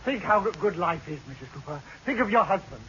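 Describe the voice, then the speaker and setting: A man's voice: high voice, expressive, fast. An actor playing a melodramatic, pleading neighbour, a fretful family man in a vintage radio sitcom. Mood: pleading, soothing. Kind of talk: 1940s-50s radio sitcom acting. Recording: muffled, noisy old radio recording.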